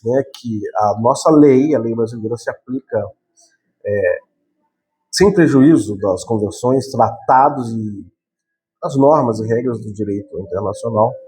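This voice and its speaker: Brazilian guy teaching law, warm mellow voice, keeps tossing in little tag questions, chatty lecture. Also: mid-range voice. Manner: conversational, frequent tag questions, repeats and self-corrects. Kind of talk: explanatory lecture.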